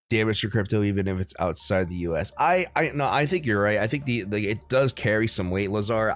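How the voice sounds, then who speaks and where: A young American man hosts a casual livestream from his room at home, chatting with viewers in a loose, rambling way full of ums and likes. His voice sits a little low, and faint music plays behind him. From United States. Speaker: crypto livestream host.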